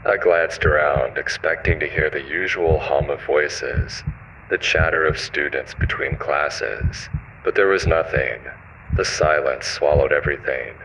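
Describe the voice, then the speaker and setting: A man narrating a scripted horror story in a measured, ominous first-person manner. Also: extremely low pitch, a bit bright, a little hiss or hum, low-quality recording.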